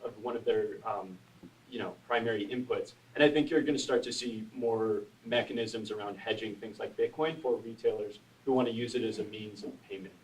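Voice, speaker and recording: A man's voice: fairly low pitch, steady tone, brisk. A man on a panel explaining his point through an analogy, checking in with "right?". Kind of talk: panel discussion. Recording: distant room mic.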